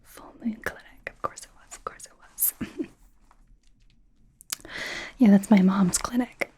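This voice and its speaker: A woman acts out a young female werewolf studying to be a doctor, in an ASMR roleplay. She speaks in a breathy whisper, soft and mellow, at an unhurried pace. Her manner is chatty, awkward and gently sarcastic. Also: medium pitch, steady tone. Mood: curious, wry.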